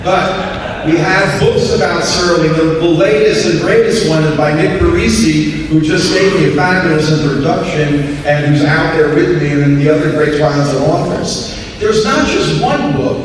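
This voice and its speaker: A man's voice: fairly high pitch, moderate pace. A man giving an enthusiastic public lecture to a live audience. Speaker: event speaker. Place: large auditorium. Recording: echoey hall from far off, faint noise.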